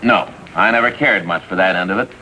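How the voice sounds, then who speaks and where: A man's voice: deep voice, brisk, pushed and bright. A man playing an earnest, chatty police detective sidekick in a 1950s TV drama, with an American accent. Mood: sheepish. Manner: eager, chatty, self-satisfied. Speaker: supporting actor. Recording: faintly noisy vintage TV soundtrack.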